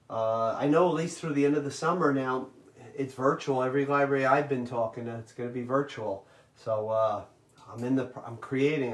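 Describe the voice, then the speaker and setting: A middle-aged man, hosting a live virtual cooking class and chatting loudly and warmly to his viewers. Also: mid-range voice, reverberant, far from the mic. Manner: loud, chatty, talks straight to viewers.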